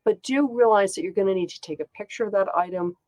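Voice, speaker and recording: A woman's voice: medium pitch, quick pace, darkish tone, dry recording. A woman with a congested voice hosting a casual chat, talking in a chatty way with frequent ums. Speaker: host.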